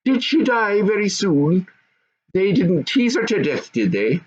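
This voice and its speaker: A man's voice: high voice, sing-song, unhurried, lo-fi sound, voice pushed up, heavily acted. A man voicing an opinionated, haughty goblin mother in a storybook. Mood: nosy, dismissive.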